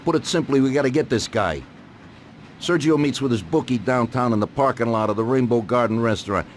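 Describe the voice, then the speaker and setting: Man acting as crime boss, calculating, bitter, commanding, mid-pitched male voice, video game cutscene. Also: fairly quick, slight background noise, lightly acted. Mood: businesslike, firm. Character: calculating, bitter mob boss giving orders.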